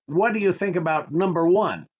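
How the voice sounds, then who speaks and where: A man's voice: high voice, moderate pace, breathy edge. An actor playing a fussy, hesitant, easily exasperated male comedian in a 1940s-style radio comedy. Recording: dull online radio-play recording.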